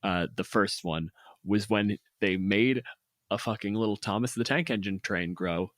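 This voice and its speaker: A man with a fairly low voice talks casually in a very dry recording. He explains and argues his opinions like a podcast host among friends, cursing freely as he goes. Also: measured pace, fairly bright tone, dry recording. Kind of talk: casual chat.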